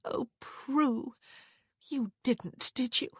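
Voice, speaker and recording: A woman's voice: high voice, very slow, fairly bright tone, slightly rough, dry recording, studio quality, voice pushed up, full character voice. A woman voicing a dramatic, fretful and protective married sister in a storybook, moaning and exclaiming. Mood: appalled.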